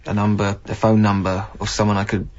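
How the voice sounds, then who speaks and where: Low-voiced, earnest young official played by an actor. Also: male voice, monotone, brisk, muffled recording, close to an everyday voice. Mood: patient, coaxing. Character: earnest, patient, conscientious young council clearance officer. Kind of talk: naturalistic radio drama acting.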